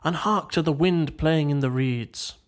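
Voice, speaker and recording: A man's voice: on the high side, a little slow, natural voice. A man voicing a poetic water rat in a storybook, murmuring in a dreamy, languid way. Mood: tired, contented. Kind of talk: storybook character dialogue.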